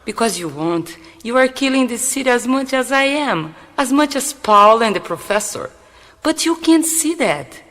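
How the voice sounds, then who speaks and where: A woman's voice: on the high side, expressive, moderate pace, fairly bright tone, in a large hall. An actress with a Brazilian Portuguese accent playing a mysterious woman who sternly questions a reluctant shaman in a radio play. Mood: reproachful. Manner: stern, searching, probing questions.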